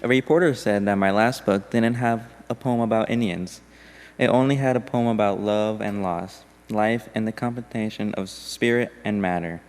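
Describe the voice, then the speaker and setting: A man reading a poem aloud during a church service in a steady, deliberate way. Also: on the low side. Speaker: congregation member reading a poem. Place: church sanctuary. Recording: long hall reverb.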